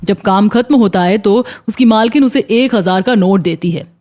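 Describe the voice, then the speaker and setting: A woman's voice: medium pitch, normal speed, a little mellow, soft background music. A woman narrating a scripted children's moral story.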